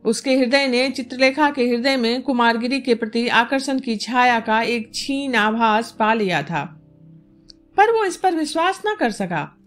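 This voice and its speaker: A woman narrating a literary audiobook in an expressive, deliberate storytelling manner. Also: fairly high pitch, soft background music.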